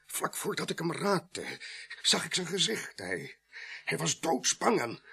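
A man's voice: fairly high pitch, very animated, unhurried, creaky, pushed and bright, slightly rough, heavily acted. An actor playing a tipsy, shaken well-to-do man in his fifties in a radio play, faltering and repeating himself. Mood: shaken, haunted. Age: 50s.

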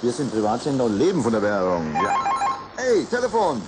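A man hosting a call-in radio show from the studio, teasing callers with quick jokes. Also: mid-range voice, huge pitch swings, heavy background noise. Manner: playful teasing, quick quips. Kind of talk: joking call-in radio banter. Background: loud music.

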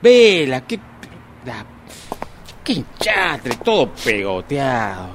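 A man's voice: medium pitch, wildly swooping pitch, takes it slowly, creaky, a bit bright, slight background noise, exaggerated voice. A man with an Argentine Rioplatense accent playing a grumbling, tipsy husband in a radio drama, muttering exasperated complaints to himself. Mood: irritated. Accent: Argentine Rioplatense Spanish. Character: grumbling tipsy husband stumbling home at night.

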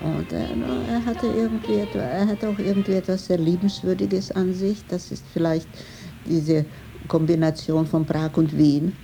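Older lady remembering stuff in an interview, dark creaky voice, searches for words. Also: on the low side, steady tone, moderate pace, soft background music. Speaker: interviewee recalling a writer. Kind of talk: reflective interview recollection.

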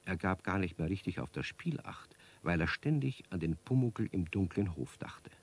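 A man narrating a children's radio play as a friendly, dryly amused storyteller with a deep, husky voice. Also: expressive, moderate pace, slight background noise. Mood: wry, calm.

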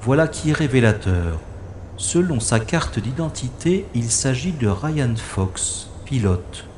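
A cool-headed special agent from a science-fiction radio play, acted by a man. His middle-pitched delivery is sardonic and unflappable, with faint ambient noise behind it. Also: sing-song, takes it slowly, darkish tone. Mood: dry, observant. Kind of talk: science-fiction audio drama acting.

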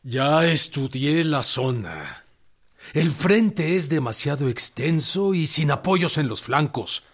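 Earnest, husky Mexican army strategist. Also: male voice, a little high, big pitch swings, slow, a bit bright, heavily acted. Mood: insistent. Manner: formal, insistent. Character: earnest, firm male army general and strategist. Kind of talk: radio drama acting.

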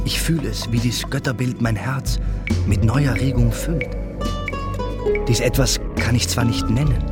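An actor playing an ardent, brave young prince in a radio play, speaking in an earnest, declamatory, poetic way. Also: male voice, mid-range voice, natural voice. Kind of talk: theatrical German radio play acting. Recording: radio drama under prominent music.

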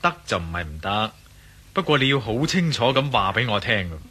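A man's voice: on the low side, big pitch swings, fairly quick, sharp tone, slightly rough, heavily acted. A man playing a curious, teasing Hong Kong tourist in a radio drama, casual and wry. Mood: impatient, curious. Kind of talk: Cantonese radio drama acting.

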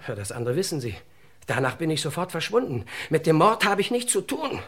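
Husky, desperate man on the run. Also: fairly high pitch, sing-song, fairly bright tone, heavily acted. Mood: anxious, explaining. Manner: urgent, halting, pleading. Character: desperate, pleading male murder suspect on the run. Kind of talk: vintage German crime radio play acting.

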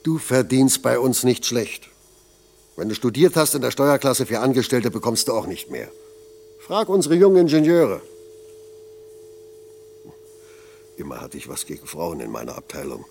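An actor playing a blunt, patronising middle-aged factory foreman with a gravelly voice in a German radio play. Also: medium pitch, very animated, normal speed, darkish tone, slight background noise. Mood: grudging, blunt. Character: gruff paternal older factory foreman.

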